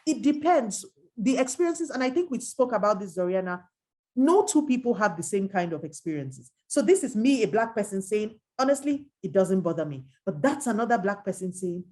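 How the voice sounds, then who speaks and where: A woman's voice: medium pitch, fairly quick. Earnest emphatic woman from Nigeria. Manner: earnest, emphatic, quotes others in lively asides. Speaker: adult educator and researcher. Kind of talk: reflective dialogue.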